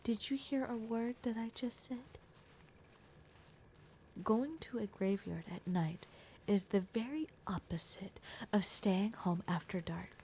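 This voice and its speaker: A woman's voice: mid-range voice, measured pace, mellow, slight background noise, close to an everyday voice. A woman voicing a blunt, protective young werewolf girl in an ASMR roleplay, often dropping into a whisper. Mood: exasperated. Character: gruff, protective young werewolf girl, exasperated but caring.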